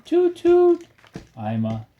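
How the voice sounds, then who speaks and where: A man reading a picture book aloud with a small child, encouraging and pausing so the child can finish the phrases. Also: very high voice, huge pitch swings, narrow, tinny audio.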